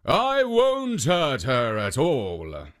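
A narrator voicing a hungry ogre who talks slowly, sounding suspicious and falsely polite. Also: medium pitch, wildly swooping pitch, exaggerated voice. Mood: sly.

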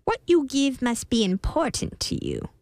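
A woman's voice: sits high, huge pitch swings, normal speed, a little mellow, dry recording, studio quality, voice pushed up, heavily acted. Woman voicing a sly, swooping little male imp. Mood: wheedling. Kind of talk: storybook character voice.

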